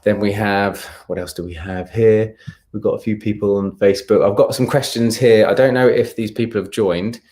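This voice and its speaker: A man with a low voice and an English accent hosts a live travel stream. He chats casually as he reads out questions from viewers and answers them, sometimes humming to himself while he searches. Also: fairly even intonation, moderate pace, darkish tone. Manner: hums filler sounds while scanning for comments. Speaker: travel content creator and live stream host.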